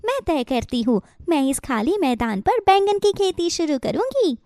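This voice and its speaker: A woman voicing a caring, eager mother sparrow in a children's cartoon, with an expressive, exclaiming delivery. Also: very high voice, moderate pace, no echo, studio quality, full character voice. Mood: determined.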